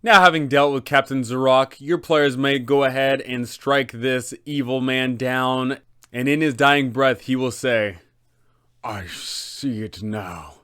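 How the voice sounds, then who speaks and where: Male host for tabletop game guide: clear, resonant, medium pitch, dramatic and enthusiastic, close dry recording. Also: steady tone, unhurried, a bit bright, no echo. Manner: dramatic, emphatic storytelling delivery. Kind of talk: enthusiastic scripted explainer for game masters.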